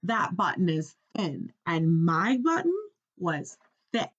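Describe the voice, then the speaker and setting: A woman's voice: on the low side, very animated, slow, highs cut off, exaggerated voice. A woman reading a storybook as a grumpy, easily upset male toad, whiny and exasperated, complaining with emphasis. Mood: wailing.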